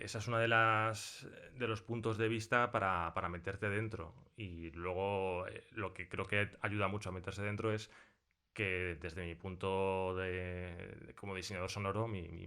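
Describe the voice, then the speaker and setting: A man's voice: low-pitched, fairly even intonation, normal speed, pushed and bright. A man with an accent from Spain, speaking conversationally in an interview as a sound designer, in colloquial language. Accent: Spanish from Spain. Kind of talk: conversational interview, explaining his craft. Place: recording studio.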